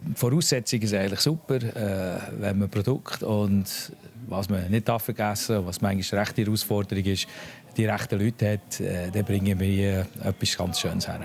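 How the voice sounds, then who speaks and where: A man with a Swiss German accent speaks in a low, gravelly voice. He answers interview questions hesitantly, pausing often with filler sounds. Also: steady tone. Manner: hesitant, many pauses with uh.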